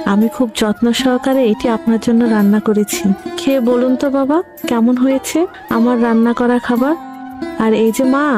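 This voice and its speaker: A woman's voice: a little high, close to an everyday voice. A woman voicing a meek, dutiful young daughter-in-law in a fairy-tale cartoon, earnest and deferential. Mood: eager, respectful.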